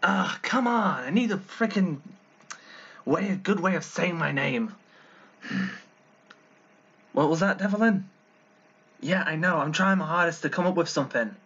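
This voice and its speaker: A man acts out an irritable, scheming male demon-summoner for a cartoon, sulky and sardonic. He mutters to himself in exasperation at a slow pace, with pitch that swings widely. The recording is a slightly dull, homemade one. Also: lively intonation, a bit bright, slightly rough, heavily acted. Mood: exasperated. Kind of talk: amateur character voice lines, cartoon monologue.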